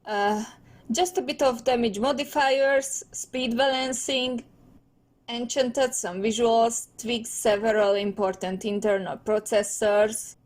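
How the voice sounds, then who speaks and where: A woman's voice: on the high side, fairly even intonation, slow pace. A woman voicing a proud, wordy teenage turtle boy inventor in a cartoon comic dub, with a pompous, theatrical manner. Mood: evasive.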